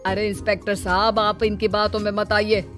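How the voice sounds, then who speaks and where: A woman's voice: medium pitch, flat delivery, moderate pace, a bit bright, faint music behind, natural voice. A woman voicing a sly, brazen daughter-in-law who plots and denies emphatically in a cartoon. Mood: indignant, defensive. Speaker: cartoon voice actor. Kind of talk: acted dialogue for a moral-story cartoon.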